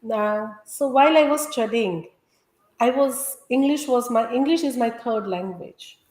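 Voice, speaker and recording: A woman's voice: on the high side, measured pace. A woman from India, a Gujarati speaker, explains her ideas earnestly as an interview guest and health coach. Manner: earnest, explanatory, steady teaching tone. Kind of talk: conversational interview. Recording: echoey room sound.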